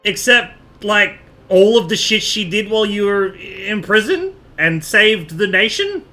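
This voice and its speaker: A man's voice: sits high, lively intonation, a little slow, sharp tone, soft background music. A man giving casual, irreverent live commentary with playful asides while he plays a video game. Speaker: live streamer playing a game.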